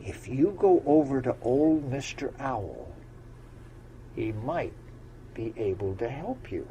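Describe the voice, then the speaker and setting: A man voicing a kindly, wise old male frog in a deep, frogish voice. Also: big pitch swings, takes it slowly, dark tone, slight background noise. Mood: advising.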